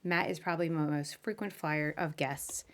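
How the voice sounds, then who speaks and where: A woman's voice: low voice, moderate pace. A woman hosting a podcast in a chatty, informal way, as in a casual live interview. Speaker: podcast host.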